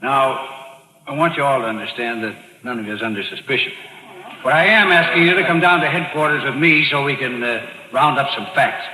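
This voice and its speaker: An actor playing an authoritative, official police officer in a 1940s radio thriller. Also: male voice, mid-range voice, expressive, close to an everyday voice. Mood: commanding, formal. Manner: official, commanding, measured. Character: authoritative male police officer addressing suspects. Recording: echoey, noisy old radio recording.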